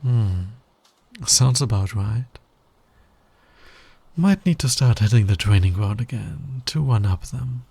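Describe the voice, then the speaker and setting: Man acting a husky, low-voiced flirty villain. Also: expressive, slow, pauses often, mellow, no echo, exaggerated voice. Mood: smug, confiding. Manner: smug, playful, drawling, teasing. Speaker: voice actor in audio roleplay. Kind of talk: flirty ASMR roleplay acting.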